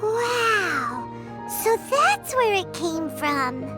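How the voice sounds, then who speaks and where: Very squeaky, perky little fairy. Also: female voice, very slow, music in the background, exaggerated voice. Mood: amazed. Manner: cutesy, expressive, refers to herself in third person. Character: tiny childlike female fairy companion, perky and curious. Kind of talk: scripted video game cutscene acting.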